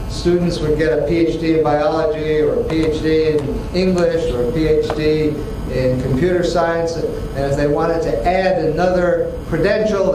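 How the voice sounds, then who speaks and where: An older man whose first language was Italian, giving a lively, joking university workshop lecture while walking around the room. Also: a little high, noisy background. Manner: animated, joking, walks around while speaking. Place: large lecture hall. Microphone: far camera. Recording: echoey hall from far off.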